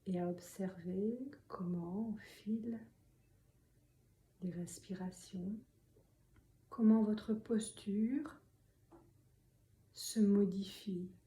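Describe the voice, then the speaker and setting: Soft, dark-voiced woman guiding slow meditation. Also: medium pitch. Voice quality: soft, breathy, often near a whisper. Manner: calm, slow, gently instructing with long pauses. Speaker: meditation and yoga teacher. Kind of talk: guided meditation. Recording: roomy echo, faint background noise.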